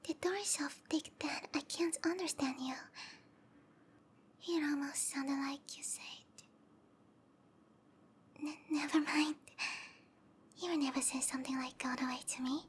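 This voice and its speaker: A woman voicing a sweet, obsessive young snake-monster girlfriend in a roleplay ASMR, soft and whispery, with a cutesy, pleading delivery. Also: high-pitched, steady tone, measured pace, pauses often, a little hiss or hum. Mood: pleading, hurt.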